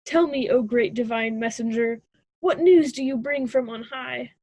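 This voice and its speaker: High-pitched, grandiose college girl, played by a woman. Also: moderate pace, darkish tone. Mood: haughty. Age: young adult. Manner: grandiose, theatrical. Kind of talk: radio drama acting.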